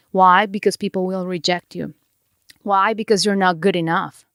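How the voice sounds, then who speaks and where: A woman's voice: mid-range voice, a bit bright, dry recording, studio quality. Earnest Mexican-accented woman podcast host. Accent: Mexican Spanish. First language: Spanish. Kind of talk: reflective motivational monologue.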